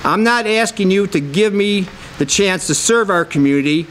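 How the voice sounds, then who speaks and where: A man's voice: high-pitched, animated, moderate pace, a bit bright, slight background noise, poor audio. A plain-spoken man in his sixties with an American accent, giving an informal introduction as a council candidate. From Michigan, United States. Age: 60s.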